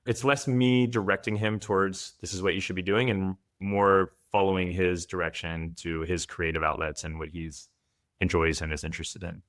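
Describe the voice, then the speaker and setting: A man's voice: low-pitched, flat delivery, normal speed, a bit bright. A man from France, a guest father, giving thoughtful, candid answers in a podcast interview.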